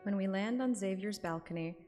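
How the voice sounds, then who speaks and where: A woman live-streaming a game, playful and expressive, switching between casual reactions and reading dialogue aloud. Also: mid-range voice, fairly quick. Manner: playful, expressive, swears freely. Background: game music.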